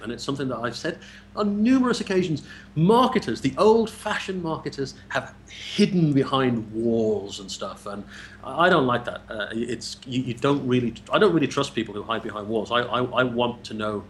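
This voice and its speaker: A man's voice: mid-range voice, lively intonation, moderate pace, slight background noise. Chatty English man hosting. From England. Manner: chatty, repeats words when starting. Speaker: interview host. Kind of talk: casual interview chat.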